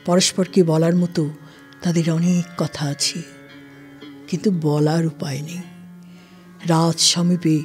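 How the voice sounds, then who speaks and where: A woman narrating a scripted literary story in a deliberate, formal storytelling manner. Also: lowish voice. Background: soft plucked-string music.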